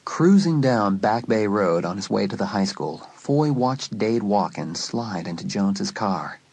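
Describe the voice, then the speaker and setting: A man narrating a suspense story in a dramatic, scripted style, letting phrases drop to a hush. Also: lowish voice, animated, measured pace, creaky, slightly rough. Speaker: audiobook narrator.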